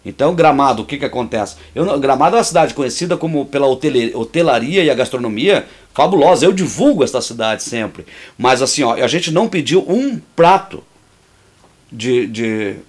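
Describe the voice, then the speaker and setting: A man, a filmmaker and actor, giving outspoken and opinionated commentary on how his film was made, talking freely with restarts. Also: mid-range voice. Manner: restarts and repeats words while talking freely. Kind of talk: commentary over a making-of.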